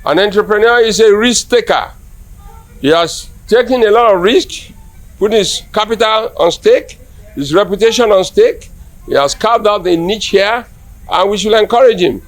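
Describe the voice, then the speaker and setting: A man with a Nigerian accent giving a formal opinion in an interview. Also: sits high. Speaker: art patron being interviewed.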